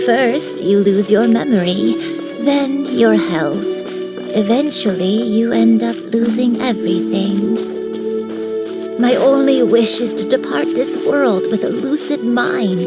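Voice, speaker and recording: This is a frail, forgetful old grandmother in a video game. She speaks gently and very slowly, slightly high, in a soft, apologetic way. Game music plays clearly underneath. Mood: melancholy. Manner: slow, soft, wistful.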